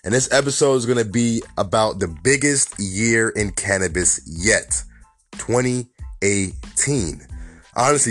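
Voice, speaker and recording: A New York man hosts his podcast alone, his low voice gone raspy and hoarse from a mild cold. He talks in a loose, chatty way, leaning on "you know" and "honestly." Faint music plays underneath. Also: fairly bright tone. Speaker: podcast host. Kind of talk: casual solo podcast commentary.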